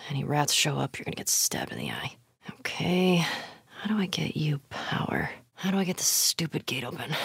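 A woman's voice: sits low, vocal fry, breathy edge, dry recording, studio quality, heavily acted. A young woman playing a tough, foul-mouthed, wry survivor in a video game, muttering in terse, conversational asides. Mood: determined, then hushed, tense. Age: young adult. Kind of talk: video game voice acting, naturalistic.

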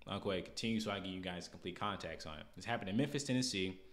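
An American man with a bright, forward voice that sits a little on the low side. He hosts a podcast and talks casually through his opinions. His delivery is blunt and slangy, with an exasperated edge. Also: very fast. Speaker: podcast host.

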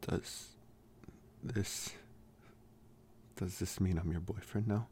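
A young man playing a protective bully with a secretly tender side in an intimate ASMR roleplay, speaking softly and haltingly with gruff care. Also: low-pitched, steady tone, a little hiss or hum. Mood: bashful, tender. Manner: halting, soft-spoken, gruffly caring.

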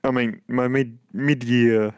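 A young man with a very deep voice, chatting casually as a podcast co-host and talking in halting, hesitant phrases full of restarts. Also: fairly even intonation, clean tone, no echo. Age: young adult. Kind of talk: casual chat.

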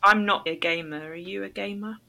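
A woman's voice: medium pitch, fairly even intonation, brisk, creaky, pushed and bright. A woman hosting an English-learning podcast, chatting with a guest in an encouraging, conversational way. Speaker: podcast host and English teacher.

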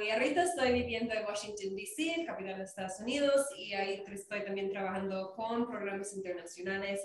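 Young american woman from wisconsin, hesitant fillers, echoey room, bit far from mic. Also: mid-range voice. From Wisconsin, United States. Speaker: guest. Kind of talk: casual podcast chat.

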